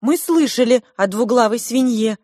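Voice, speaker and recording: A woman's voice: a little high, moderate pace, darkish tone, slightly rough, dry recording, clean studio sound, pitched above the performer's own voice. A woman voicing quarrelsome conjoined twin girls in an audiobook, with plaintive, dramatic delivery. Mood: earnest.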